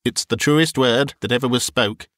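A man's voice: medium pitch, normal speed, a bit bright, no echo, close to an everyday voice. Man reading a sour old laundress, prim, sanctimonious, dry sound. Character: sour old laundress woman, self-righteous.

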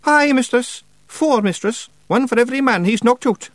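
A man's voice: very high-pitched, moderate pace, no echo, voice pushed up. A man voicing a tiny, clever male fairy clansman with a Scottish accent, polite and earnest, in an audiobook. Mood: matter-of-fact. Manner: respectful, careful, earnest. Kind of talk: audiobook character voice.

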